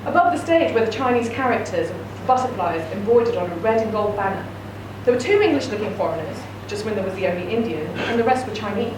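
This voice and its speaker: A woman's voice: medium pitch, animated, fast, hardly any pauses. Woman reading a story in an echoey room. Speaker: reader. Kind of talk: reading a short story aloud. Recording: echoey room, distant mic, noisy.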